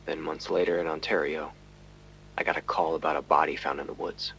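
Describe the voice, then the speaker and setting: A gravelly, very deep-voiced detective. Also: male voice, little pitch movement, a bit bright, a little hiss or hum, exaggerated voice, rough recording. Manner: grave, measured, uneasy. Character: weary male police detective recording his case. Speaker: narrator. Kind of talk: scripted audio drama narration.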